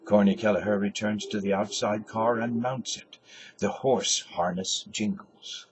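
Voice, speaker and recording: An older man, an actor, giving a measured, deliberate dramatic reading of literary prose and stage directions. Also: fairly low pitch, fairly even intonation, background noise. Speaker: actor reading a novel aloud. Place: small indoor space in front of a cloth backdrop.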